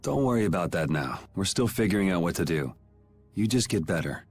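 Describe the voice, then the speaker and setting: A cool, sardonic young male adventurer in a video game cutscene. His voice sits on the low side and he talks dryly and casually, keeping his guard up, with music underneath. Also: animated, fairly quick, husky, heavily acted. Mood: gently reassuring. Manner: laconic, dry, casual.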